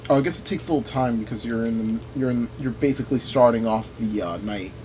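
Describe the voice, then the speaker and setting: A man's voice: mid-range voice, fast talker, darkish tone. Man rambling over gameplay, noisy background. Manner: rambling, thinking aloud. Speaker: gaming video host. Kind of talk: casual gameplay commentary.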